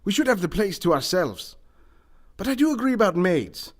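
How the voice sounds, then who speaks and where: Man acting a pompous high-voiced rich eccentric. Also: very animated, normal speed. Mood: matter-of-fact, pompous. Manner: pompous, theatrical, formal. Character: pompous wealthy eccentric businessman and hunter, male. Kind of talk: comedic old-time radio mystery acting.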